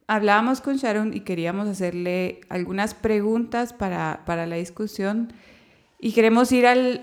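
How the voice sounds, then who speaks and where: Woman hosting a faith podcast. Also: mid-range voice. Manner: hesitant, many fillers and restarts. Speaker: podcast host.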